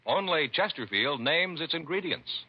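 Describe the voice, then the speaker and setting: A man working as a 1950s radio announcer, reading scripted introductions and ads with deliberate emphasis. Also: fairly high pitch, expressive, slightly rough. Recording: lo-fi vintage radio, loud music.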